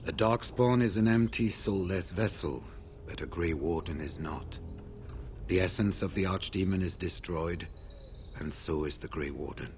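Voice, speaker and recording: Deep-voiced solemn older warden. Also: male voice, a little mellow, a little hiss or hum. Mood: somber. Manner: solemn, measured, formal. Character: grave older male warden, weary and resolute. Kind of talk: scripted video game dialogue.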